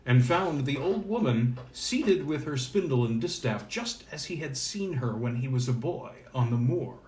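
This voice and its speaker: Male narrator, mid-pitched, for fairy-tale audiobook. Dramatic, deliberate delivery, roomy echoing sound. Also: animated, darkish tone, a little hiss or hum. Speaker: storyteller reading aloud.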